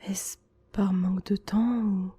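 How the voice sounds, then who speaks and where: A woman playing a gentle, attentive male school nurse in an ASMR roleplay, whispering breathily in a reassuring, hushed way. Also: mid-range voice, fairly even intonation, slow, husky. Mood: caring, attentive.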